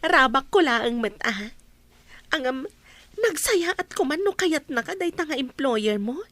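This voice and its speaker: Nosy teasing girlfriend in a radio drama, high voice jumping all over, exclaims a lot. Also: big pitch swings, a little slow, a bit bright, no room sound, full character voice. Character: teasing, excitable woman, nosy best friend.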